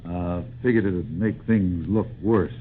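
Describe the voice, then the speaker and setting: An actor in a 1940s radio drama playing a sullen, grudging captured outlaw. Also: male voice, low voice, a little slow. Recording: tinny, noisy 1940s radio recording.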